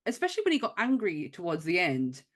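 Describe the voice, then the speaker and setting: A woman's voice: fairly low pitch, wildly swooping pitch, fast. A woman with a light Wearside accent from north-east England, co-hosting a podcast in giggly, rambling casual chat. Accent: light Wearside (Sunderland–Durham). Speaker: podcast co-host.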